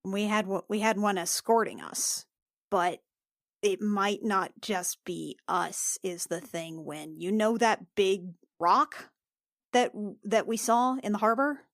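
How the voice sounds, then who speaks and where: A woman playing a tabletop game, talking in halting, restarting phrases, half in character and half as herself. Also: medium pitch, no echo, studio quality. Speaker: player.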